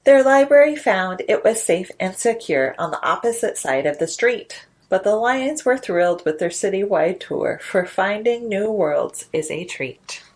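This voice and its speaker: Woman, children's storytime host, slow pace, mid-range pitch, expressive read-aloud delivery.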